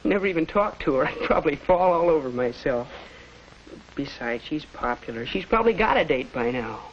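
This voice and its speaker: A shy, earnest American high school boy in an old educational film. He speaks haltingly and unsurely, with intonation that rises and falls a lot. The sound is dull, like a 1940s soundtrack. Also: expressive, a little hiss or hum. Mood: unsure, bashful. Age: teenager. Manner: hesitant, halting.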